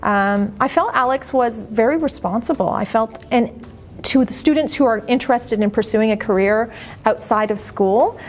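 A middle-aged woman, a teacher speaking in an earnest, measured and articulate way in an interview. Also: fairly high pitch. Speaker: high school teacher. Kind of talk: on-camera interview testimonial. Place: empty classroom, indoors. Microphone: lapel.

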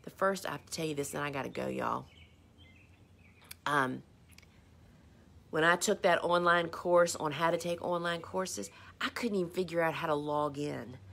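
A woman hosts a relaxed live stream, answering viewer questions. Her voice sits a little low for a woman. She talks in a chatty, rambling way and pokes fun at herself. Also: moderate pace, creaky, pauses often. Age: 50s. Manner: chatty, rambling, self-deprecating. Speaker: host.

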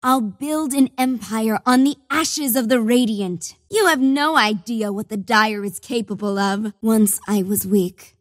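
A zealous young warlord played by a woman with a fairly high voice, declaiming menacingly like a dark cult's chosen leader. She is imperious and theatrical, a video game villainess recorded dry and close. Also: measured pace, few pauses, no echo, natural voice. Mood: proud, triumphant. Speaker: voice actress.

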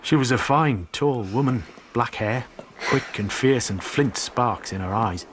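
An actor playing a remorseful, bitter working seaman in a radio drama, with a husky voice. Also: medium pitch, measured pace, fairly bright tone, slight background noise, natural voice. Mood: remorseful confession.

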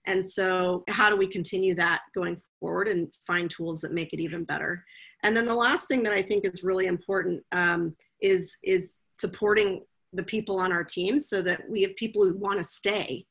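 A woman's voice: lowish voice, steady tone, moderate pace, vocal fry, a bit bright, breathy edge. A woman guest speaking thoughtfully and casually over a video call from home. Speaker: guest, legal professional. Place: home room, indoors.

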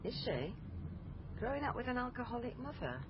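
An actress playing a persistent, matter-of-fact police family liaison officer in a naturalistic radio drama. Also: female voice, a little high, big pitch swings, fairly quick. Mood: judgmental, matter-of-fact. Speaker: actor in radio crime drama. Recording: dull, noisy lo-fi radio recording.

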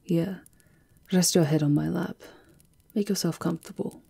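A woman's voice: lowish voice, steady tone, normal speed, vocal fry, mellow, breathy edge, full character voice. A woman performing an elegant, seductive vampire countess in an ASMR roleplay, speaking in an intimate, languorous and teasing manner. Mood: teasing, flirtatious.

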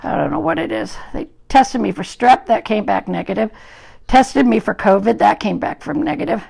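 A hoarse woman groomer with a gravelly voice, chatting to live-stream viewers while trimming a dog in a grooming salon, recorded on a phone. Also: a little high, animated, normal speed, a little mellow. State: hoarse from an illness. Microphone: phone camera propped at the grooming table.

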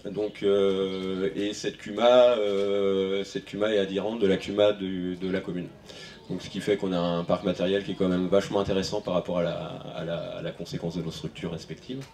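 A man in his thirties speaks on a panel in a large event tent, hesitant and halting, full of fillers and restarts. His voice sits low, with faint ambient noise behind him. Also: level tone, darkish tone. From France (Maine-et-Loire). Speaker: farmer on panel. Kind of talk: panel discussion answers. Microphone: handheld microphone.

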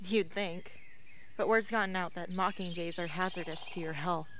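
A woman's voice: lowish voice, steady tone, moderate pace, a bit bright, close to an everyday voice. An actress playing a wounded, blunt young rebel woman in a radio drama. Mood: wry.

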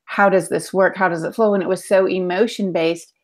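A woman's voice: lowish voice, steady tone, fairly quick. A middle-aged woman chatting casually and emphatically as a panelist on a video call. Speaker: panelist reading chat questions. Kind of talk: casual chat. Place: indoor home room, on a video call. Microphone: earbud headset.

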